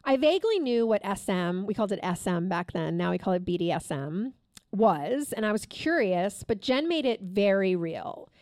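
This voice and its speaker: A woman's voice: mid-range voice, big pitch swings, a little mellow, dry recording, studio quality. A woman in her fifties, a guest author, reads frankly from her memoir at a live taping and chats casually. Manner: frank and candid, reads with measured pauses. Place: live taping at a store event.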